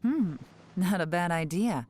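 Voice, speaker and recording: A woman voicing a cool, commanding spymaster in a fantasy video game, speaking in a composed, measured way. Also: fairly low pitch, expressive, a little mellow. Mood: cool, in control. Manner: composed, measured, giving orders. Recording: background music under the voice.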